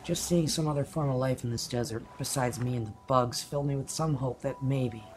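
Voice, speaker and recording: A narrator telling a scripted first-person story for an amateur radio play, in a dramatic, deliberate way. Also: mid-range voice. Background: music.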